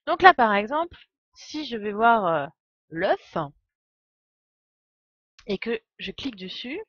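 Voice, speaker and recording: A woman's voice: fairly high pitch, sing-song, slow, fairly bright tone, slightly rough, no room sound, lo-fi sound. A woman streaming a game with casual, enthusiastic and digressive live commentary. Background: game music.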